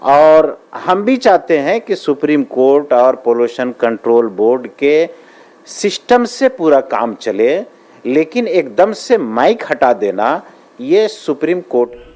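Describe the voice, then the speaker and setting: A man's voice: mid-range voice, big pitch swings, slow pace, a little mellow. A man giving a spontaneous spoken statement as an interviewee in a news report.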